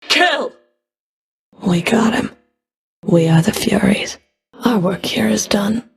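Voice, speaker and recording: Game villain: a sadistic female Fury, cold and contemptuous, unhurried delivery with a creaky, breathy edge. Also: medium pitch, lively intonation. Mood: fierce, commanding. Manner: deliberate, menacing, contemptuous taunting. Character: menacing female Fury of the underworld, cold, scornful, sadistic whip-wielder. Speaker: video game villain voice actor. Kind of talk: video game combat voice lines.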